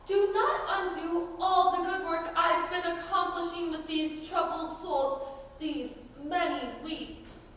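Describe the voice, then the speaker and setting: A teenage girl playing a brisk, sanctimonious outlaw nun with a scolding manner in a school stage play. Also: extremely high pitch, steady tone, a bit bright, exaggerated voice. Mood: pious, scolding. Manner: pious, scolding, emphatic. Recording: distant, echoey stage recording with noise.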